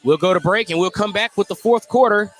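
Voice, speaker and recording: A man with a high-pitched voice works as a radio play-by-play announcer. He follows a live basketball game, calling the action as it unfolds. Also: a little fast, poor audio.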